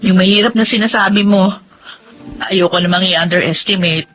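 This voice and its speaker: A woman playing a spirited, outspoken young working student in a Filipino drama, brisk and frank with advice. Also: mid-range voice, fairly even intonation, fairly bright tone, soft background music, close to an everyday voice, rough recording. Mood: earnest, firm. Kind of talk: Filipino radio drama acting.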